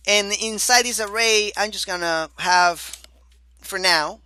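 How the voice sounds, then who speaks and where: A man teaching a beginner programming course over his screen, casual and chatty, often saying "all right" and calling the listener "my dear student"; his voice is going hoarse. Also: high-pitched, slow pace, sharp tone, dry recording. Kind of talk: screen-recorded coding lecture.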